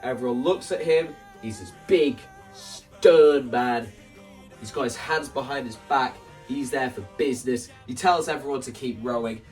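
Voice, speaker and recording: A young man talking casually and explaining his creative ideas in an animated, theatrical way. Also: medium pitch, unhurried, distant mic, faint music behind. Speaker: video creator presenting a stage play concept.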